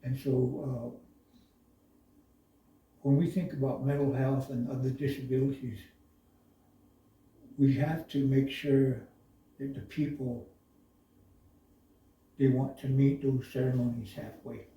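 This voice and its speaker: A man from the Navajo Nation speaking slowly and thoughtfully in a reflective discussion, pausing often with uhs. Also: medium pitch, flat delivery, mellow, a little hiss or hum. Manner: slow, thoughtful, halting with many uhs. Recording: echoey room, voice from far off.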